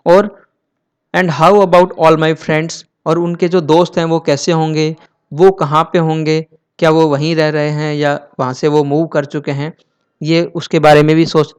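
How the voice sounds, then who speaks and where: A man teaching a school lesson, reading text slowly and then explaining it in Hindi. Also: on the high side, smooth.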